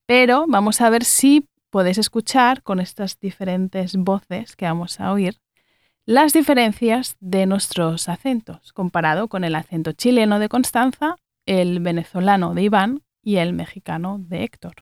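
Smooth-voiced woman from Spain. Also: medium pitch, moderate pace, no echo, clean studio sound. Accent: Spanish, from Spain. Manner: clear, guiding questions. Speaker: podcast co-host. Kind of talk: scripted educational podcast.

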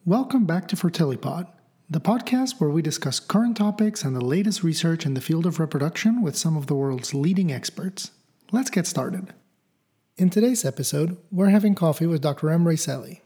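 A man hosting a podcast, presenting in an orderly way and putting questions to a guest, with a husky voice. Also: a little high, lively intonation, normal speed, warm tone. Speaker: podcast host. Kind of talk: scripted podcast introduction and interview questions.

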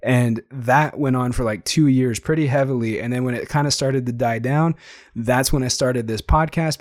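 Chatty young man, slightly hoarse. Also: medium pitch, darkish tone, no echo, studio quality. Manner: chatty, enthusiastic, frequent fillers. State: losing his voice, slightly hoarse. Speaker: podcast host. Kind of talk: casual solo podcast monologue.

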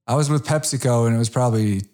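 Man in his fifties, mid-pitched voice, chatty interviewer, fond of "right?" and quick restarts. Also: a little mellow. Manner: conversational, restarts, frequent "you know" and "right?". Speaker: interview host. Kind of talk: casual interview.

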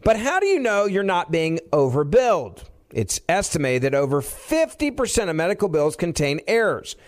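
Un hombre que lee la narración de un anuncio comercial con guion. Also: male voice, on the high side, big pitch swings, a little slow. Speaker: advertisement announcer. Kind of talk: scripted commercial narration.

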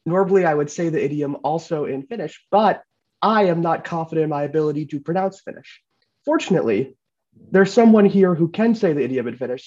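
A man hosting a podcast, talking in a relaxed, chatty way. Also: mid-range voice, dull audio. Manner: relaxed, chatty, uses fillers. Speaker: podcast host. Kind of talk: casual podcast chat.

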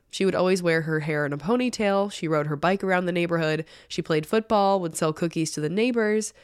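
A woman hosts a true crime podcast while fighting a cold, and her voice sounds congested. She talks in a casual, chatty way and sits fairly low in her range. The sound is dry and close, with no room around it. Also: darkish tone, dry recording. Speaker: podcast host.

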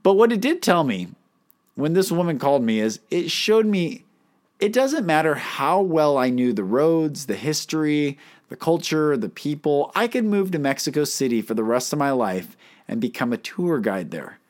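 A man's voice: a little high, big pitch swings, moderate pace, darkish tone. Man, podcast host, earnest emphatic monologue, can't roll his R's, asks listeners questions. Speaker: podcast host and life coach.

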